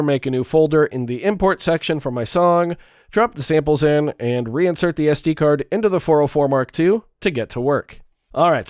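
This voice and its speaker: A man with a stuffed-up nose, talking through a relaxed, chatty walkthrough of his music gear. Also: on the high side, clear, resonant tone, dry recording, clean studio sound. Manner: relaxed, chatty, with fillers and small restarts. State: stuffed up, congested. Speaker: music gear video creator. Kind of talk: casual tutorial walkthrough.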